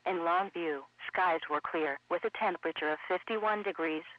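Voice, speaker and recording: A man's synthetic voice reading scripted weather bulletins in a measured, impersonal way. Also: a little high, no room sound. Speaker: weather radio announcer. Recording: dull lo-fi weather radio broadcast.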